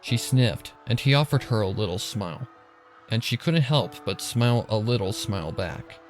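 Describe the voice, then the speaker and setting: A man's voice: lowish voice, expressive, moderate pace, soft background music. A man reading scripted dramatic story narration for a fan fiction.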